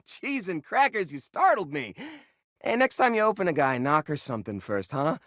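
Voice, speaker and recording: An eccentric living junk drawer from a video game, high-voiced and excitable. His speech swoops widely as he rambles like a proud little showman, in a very dry, close recording. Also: moderate pace, no room sound. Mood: startled, jittery. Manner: theatrical, rambling showman flourishes. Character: excitable, eccentric male junk drawer who hoards treasures. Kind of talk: video game character acting.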